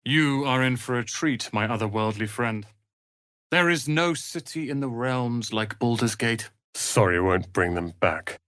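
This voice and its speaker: A man voicing a proud, sharp-tongued young tiefling wizard's apprentice in a video game, with haughty, theatrical, biting delivery. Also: medium pitch, animated, a little slow, no echo, clean studio sound. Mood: smug, pompous, then grieved, subdued. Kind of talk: dramatic video game voice acting.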